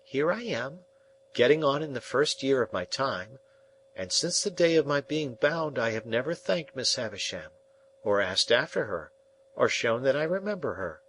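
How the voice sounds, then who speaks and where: A man voicing an earnest, wistful young male apprentice who asks soft, pleading questions. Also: medium pitch, big pitch swings, normal speed, a little hiss or hum, pitched above the performer's own voice, rough recording.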